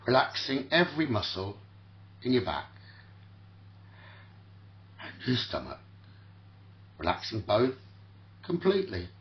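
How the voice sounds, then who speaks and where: A man leading a guided sleep meditation as host, speaking soothingly in short, repeated phrases with long pauses, on a muffled lo-fi recording. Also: mid-range voice, huge pitch swings. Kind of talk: guided sleep meditation, spoken relaxation talkdown.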